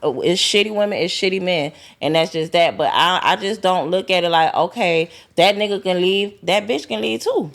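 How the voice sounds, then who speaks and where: A woman's voice: lowish voice, normal speed, vocal fry, few pauses. A woman in a casual chat, speaking frankly and with emphasis.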